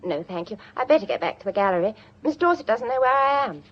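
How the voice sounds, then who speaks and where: An actress playing a worried, well-bred young English heiress in a radio drama, earnest and polite but firm. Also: female voice, on the high side, expressive, fast. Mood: light, defensive. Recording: dull, band-limited old radio recording.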